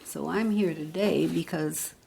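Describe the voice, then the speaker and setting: Old lady in her 80s at a city council mic, low gravelly voice, slow and halting, bit of hiss. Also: mellow. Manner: halting, earnest, searching for words. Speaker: resident giving public comment. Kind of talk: public comment at a council meeting. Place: council chamber podium.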